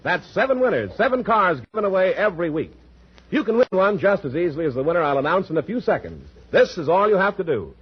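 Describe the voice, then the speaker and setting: An American man delivering a 1940s radio advertising pitch as a commercial announcer. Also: sing-song, fast talker, slightly rough. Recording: muffled 1940s radio broadcast recording.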